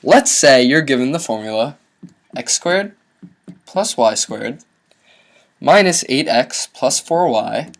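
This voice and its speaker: A man's voice: mid-range voice, expressive, slow, pauses often. Young man walking through a math lesson. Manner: instructional, step by step. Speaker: math tutorial presenter.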